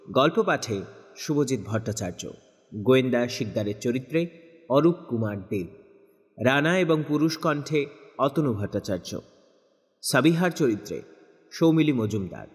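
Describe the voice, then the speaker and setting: A man making a scripted closing announcement as the show's announcer. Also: mid-range voice. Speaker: announcer reading closing credits. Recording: long hall-like reverb.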